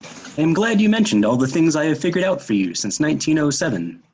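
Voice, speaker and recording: A man playing a pedantic, pompous royal mathematician in a storybook readers theater, precise and emphatic, with a husky voice. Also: a little high, big pitch swings, fairly quick, darkish tone. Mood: self-important.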